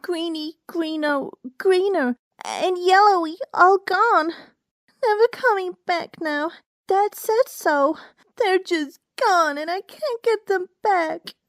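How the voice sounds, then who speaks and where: A very young cartoon-style filly for a storybook reading. The voice is pitched very high and childlike, tearful and wailing, babbling on in small upset phrases. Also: female voice, slow, no room sound. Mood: tearful, desolate. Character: crying little filly, a very young girl pony, rambling.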